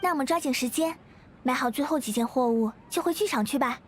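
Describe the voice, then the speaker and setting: A woman playing a high-voiced gentle young woman. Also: fast talker, noisy background, lightly acted. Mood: apologetic. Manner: polite, soft-hearted, earnest. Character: gentle, modest, friendly young woman dancer. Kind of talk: anime game voice acting.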